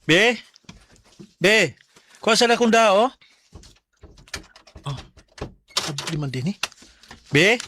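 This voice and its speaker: A man's voice: high-pitched, expressive, slow, long pauses, pushed and bright, heavily acted. An actor playing a young working man, a devoted but suspicious live-in boyfriend, with a Cebuano Filipino accent in a radio drama. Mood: suspicious, annoyed. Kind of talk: Bisaya radio drama acting.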